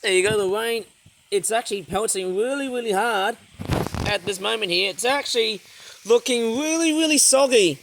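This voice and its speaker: A vlogger with a hoarse, sore throat, narrating a casual outdoor tour of a backyard garden in a rambling, excitable way, filming on a tablet. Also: mid-range voice, moderate pace, noisy background. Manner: rambling, filler-heavy, excitable.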